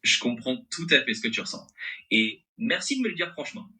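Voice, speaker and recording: A man's voice: medium pitch, big pitch swings, brisk, bright, forceful tone. A male AI assistant voice with a mixed French accent and American-sounding intonation, replying in an agreeable, accommodating, conversational way.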